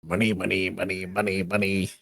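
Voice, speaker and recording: A man's voice: sits low, flat delivery, a little fast, vocal fry, fairly bright tone, no echo. A man livestreaming casual reaction commentary in informal slang, often laughing as he talks.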